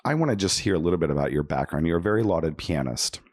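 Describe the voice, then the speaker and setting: Low-voiced man hosting a podcast. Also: animated, fast talker, a little mellow, dry recording, studio quality. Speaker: podcast host and conductor. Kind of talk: warm conversational interview. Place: backstage dressing room.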